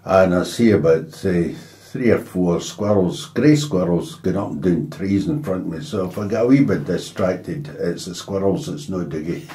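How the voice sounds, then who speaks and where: A man's voice: low voice, moderate pace, hardly any pauses, mellow. An older Scottish man interviewing a guest at a dining table at home, rambling and full of "eh" fillers. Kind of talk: casual interview chat. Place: dining room in a private house. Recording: roomy echo in a home room.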